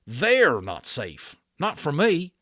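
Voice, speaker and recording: A man voicing an anxious, stubborn cowboy from a storybook, with folksy, emphatic, drawn-out speech. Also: a little high, wildly swooping pitch, pushed and bright, no echo, clean studio sound, voice pushed up, heavily acted. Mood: anxious, insistent. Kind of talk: storybook character voice.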